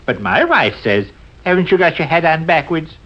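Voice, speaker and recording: A florid, teasing middle-aged American husband in a vintage radio comedy. His voice sits fairly high for a man and he puts on mock indignation. The old broadcast sounds dull and lo-fi. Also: sing-song, normal speed. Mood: mock indignant. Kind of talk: 1940s radio comedy acting.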